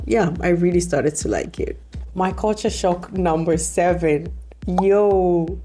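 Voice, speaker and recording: Young woman from Ghana, travel vlogger, mellow low voice, animated, soft music behind. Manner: animated, exclaiming, laughing. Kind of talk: casual talk to camera.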